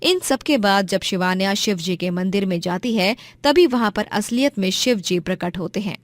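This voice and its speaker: A woman's voice: mid-range voice, expressive, normal speed, no room sound. A woman narrating a scripted moral story as a storyteller.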